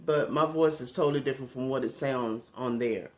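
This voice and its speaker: A man with a raspy voice, a content creator talking casually into his phone, relaxed and rambling with many fillers. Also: mid-range voice, a little fast. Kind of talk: casual talk to camera.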